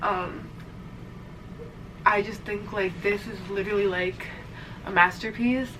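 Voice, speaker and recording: A woman's voice: mid-range voice, fairly even intonation, measured pace. Congested, teary young woman vlogging. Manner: emotional, rambling, swears, says "like" and "literally" often. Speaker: reading vlogger. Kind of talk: casual vlog chat to camera. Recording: distant mic with clear background noise.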